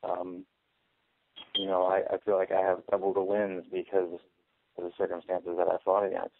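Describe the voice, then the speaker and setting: A man's voice: sits low, completely flat delivery, moderate pace, vocal fry. A man, a fighter, answering press questions in a hesitant, earnest way over a tinny phone line. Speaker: fighter on a media conference call.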